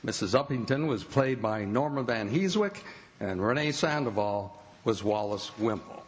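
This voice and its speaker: A man's voice: fairly low pitch, animated, normal speed. A man working as a radio announcer, reading scripted credits and advertisements in old-time radio style.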